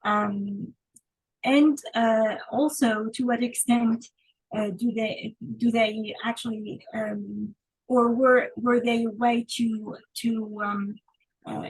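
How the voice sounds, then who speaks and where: A woman from Tunisia giving an academic conference talk over a video call. Her voice sits a little high and slightly husky, with a dry, close sound. She speaks hesitantly, with many fillers. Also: steady tone, slow, dry recording, poor audio. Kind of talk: conference paper presentation.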